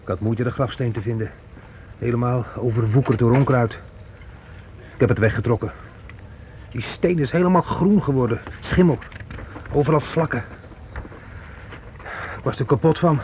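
A grown son in a radio drama played by a male actor, talkative and teasing, with a sly menace under his familiar manner. His voice sits at an ordinary male pitch, and there is faint noise on the old recording. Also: normal speed, pauses often, a little mellow, natural voice. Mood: reproachful. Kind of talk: Dutch radio play acting.

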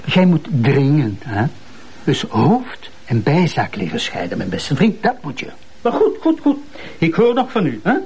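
A Flemish actor playing a pompous, exasperated public prosecutor who lectures impatiently in a TV crime drama. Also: male voice, fairly high pitch, huge pitch swings, unhurried, darkish tone, slightly rough, exaggerated voice. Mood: lecturing. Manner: lecturing, repeats words impatiently. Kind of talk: naturalistic TV crime drama acting.

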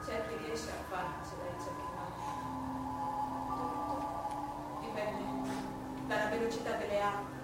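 An actress playing a proud, wounded queen of England in a dramatic, deliberate stage monologue. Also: mid-range voice, fairly even intonation, lightly acted. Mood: bitter, reflective. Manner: dramatic, deliberate, broken into short weighted phrases. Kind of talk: theatrical stage monologue in Italian. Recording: distant, very echoey, music behind.

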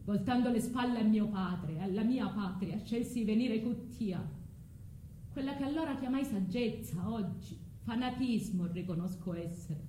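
A woman's voice: medium pitch, a little slow, warm tone, slight background noise, close to an everyday voice. An actress playing Medea, a betrayed foreign wife and mother, proud and wounded, in an emphatic, declamatory theatrical monologue. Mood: bitter, restrained. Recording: roomy stage sound with music.

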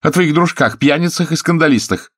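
A man's voice: a little high, fairly quick, dry recording, clean studio sound, pitched above the performer's own voice, natural voice. A man reading an audiobook, voicing a haughty, spiteful actress with ironic, melodramatic delivery. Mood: biting, ironic. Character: haughty, shrill actress woman, venomous and theatrical. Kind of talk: audiobook character dialogue.

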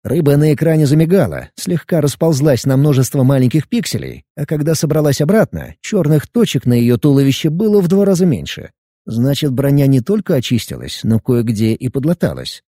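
Lively husky male audiobook narrator. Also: on the high side, no echo, clean studio sound. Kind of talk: first-person audiobook narration.

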